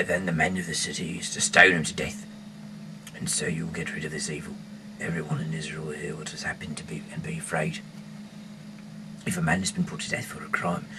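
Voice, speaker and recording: A man with a very low voice and an English accent reads aloud in a loose, halting way, wandering into casual asides. The homemade recording has clear noise behind him. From England. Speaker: amateur Bible reader and rock singer.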